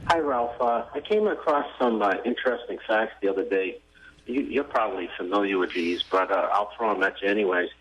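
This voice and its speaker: A man's voice: on the low side, brisk, fairly bright tone, a little hiss or hum. A man calling in to a radio show, explaining things in a casual, conversational way over the phone. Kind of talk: casual explanatory call-in talk. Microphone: telephone. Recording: dull phone call on radio.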